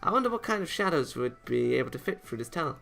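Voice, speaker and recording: A man reading visual novel narration aloud as a let's player, in an expressive, dramatised way. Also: medium pitch, fast talker, vocal fry, soft background music. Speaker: video game let's player.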